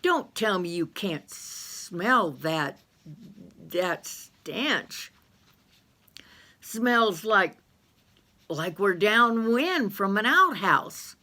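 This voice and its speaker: A woman voicing a squeamish, panicky grown man who whines and yells in a shrill voice. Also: very animated, drawn-out words, long pauses, full character voice. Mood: disgusted. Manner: shrill, frantic, yelling.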